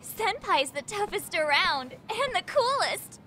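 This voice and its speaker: A woman's voice: very high voice, sing-song, unhurried, sharp tone, slight background noise, exaggerated voice. An actress playing an eager, deferential teenage schoolgirl gang underling in an English game dub. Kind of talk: English video game dub cutscene acting.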